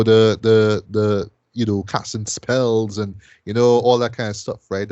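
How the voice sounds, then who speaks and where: A man's voice: on the low side, darkish tone, dry recording, muffled recording. A man from Trinidad, casually reviewing a show as a podcast host, rambling with lots of fillers and "right?" tags.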